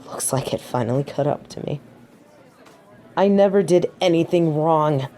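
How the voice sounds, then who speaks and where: A woman's voice: sits low, normal speed, warm tone, slight background noise, natural voice. A woman playing a proud, haughty fallen angel of judgement in a scripted audio roleplay, dramatic and defensive. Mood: bitter, defensive.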